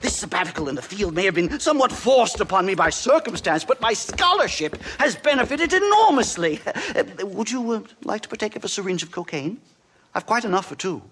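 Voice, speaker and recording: High-pitched, manic stammering professor. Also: male voice, brisk, breathy edge, full character voice. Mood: manic enthusiasm. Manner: stammering, giggly, excitable, pompous. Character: nervous eccentric male academic anthropologist, manic and pompous. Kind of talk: video game dialogue acting.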